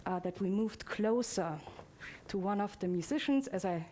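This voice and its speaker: Woman presenting live over clear background noise. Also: mid-range voice, expressive, normal speed, dark tone. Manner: conversational, hesitant, addresses the audience directly. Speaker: presenter of a demo session. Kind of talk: informal live presentation to an audience. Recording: clearly noisy live event recording.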